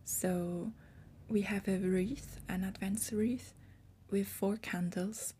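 A woman's voice: medium pitch, fairly even intonation, slow pace, warm tone, slight background noise. A woman in her twenties hosting her own podcast, explaining things carefully and correcting her wording as she goes. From Switzerland. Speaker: podcast host.

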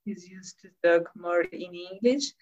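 A Colombian woman, a native Spanish speaker, speaking English haltingly in casual conversation, often searching for words. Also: on the low side, darkish tone, muffled recording, soft background music. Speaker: English learner.

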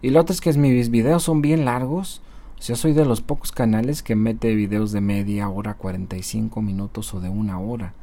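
A man's voice: lowish voice, fairly even intonation, moderate pace, warm tone. Chatty man giving pep talk. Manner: conversational, keeps adding tag questions and hesitation fillers. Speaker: social media marketer and YouTuber. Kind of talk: casual motivational monologue.

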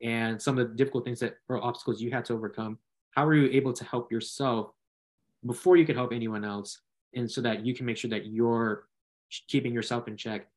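A man's voice: medium pitch, steady tone, brisk. A man from the United States hosting a casual interview, with wordy, roundabout phrasing. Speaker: interview host.

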